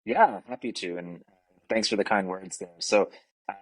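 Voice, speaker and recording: Husky-voiced Canadian man, earnest guest. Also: lowish voice, moderate pace, rough recording. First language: French. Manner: earnest, reflective, lots of fillers. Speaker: podcast guest. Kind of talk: casual interview talk. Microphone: podcast mic.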